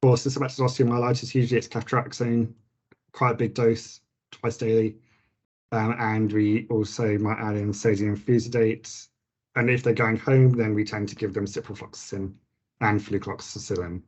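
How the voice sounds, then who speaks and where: Guy teaching a nursing session, lowish breathy voice, kinda croaky, lots of ums. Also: level tone, normal speed, darkish tone. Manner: informal, with frequent fillers and restarts. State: slightly croaky voice. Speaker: nurse teaching a clinical session. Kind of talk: clinical teaching talk.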